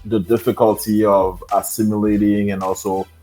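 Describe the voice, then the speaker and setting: Low-voiced Haitian man, soft and hesitant. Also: level tone, normal speed, rough recording. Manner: soft-spoken, hesitant with many fillers. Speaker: podcast guest. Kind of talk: interview, personal storytelling.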